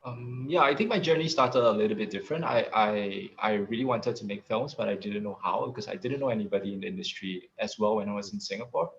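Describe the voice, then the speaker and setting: A low-voiced man, a film director, speaking earnestly and with hesitation. His voice comes through dull, lo-fi video-call audio. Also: fast talker, few pauses, a little hiss or hum. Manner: earnest, hesitant, many uhs. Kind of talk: casual panel talk.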